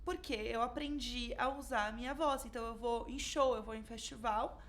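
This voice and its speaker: A woman with a Brazilian Portuguese accent, co-hosting a podcast in lively, expressive casual chat, quick to laugh. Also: a little high. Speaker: podcast co-host.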